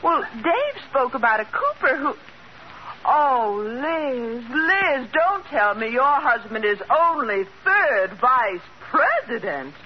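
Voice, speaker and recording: A high-voiced, gushing society snob in vintage radio comedy. She swoops theatrically and draws words out with affected grandeur. The recording is a noisy old broadcast with an audience behind. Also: sing-song, takes it slowly, highs cut off, heavily acted. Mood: scandalized, nosy. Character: gushing, snobbish wealthy society woman. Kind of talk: 1940s radio comedy acting.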